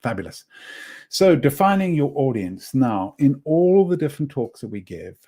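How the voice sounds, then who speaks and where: A man's voice: medium pitch, big pitch swings, moderate pace, mellow. A man with a slight South African accent, presenting a live online course, encouraging and praising each participant's comment while he rambles and restarts his sentences. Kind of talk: live webinar teaching.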